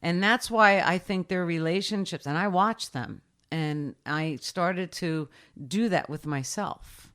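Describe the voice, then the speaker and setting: A woman's voice: fairly low pitch, takes it slowly, a bit bright. A woman in her sixties, a guest in a casual interview, speaking emphatically and directly with short, punchy repeated advice. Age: 60s.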